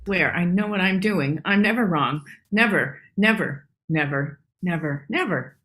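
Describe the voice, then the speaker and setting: A woman voicing a proud, brittle female animal losing her grip, in an animated comedy. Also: fairly low pitch, unhurried. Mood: unhinged muttering. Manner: muttering, tense. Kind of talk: table read of animated comedy script.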